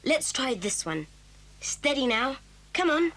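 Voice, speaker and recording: Cast: a woman as a keen young boy, lively and high, audio drama. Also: slow pace, background noise, exaggerated voice. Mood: eager. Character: eager, determined schoolboy.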